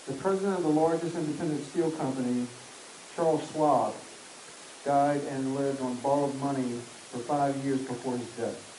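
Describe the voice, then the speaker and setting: Man, mellow tone, steady reader in an echoey room with light hiss. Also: mid-range voice, normal speed. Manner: even, steady reading, defers politely. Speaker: reader for the speaker. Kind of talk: reading a text aloud.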